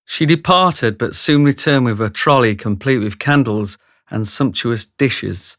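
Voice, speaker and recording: A man's voice: mid-range voice, measured pace, a bit bright, no echo, studio quality. A man reading scripted narration for a radio drama, telling the story.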